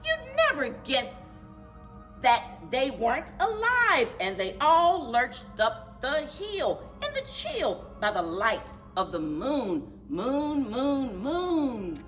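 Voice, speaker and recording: A woman reading a children's storybook aloud in an animated, rhythmic singsong for a library story time. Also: high voice. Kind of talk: children's storybook read-aloud with chanted refrains.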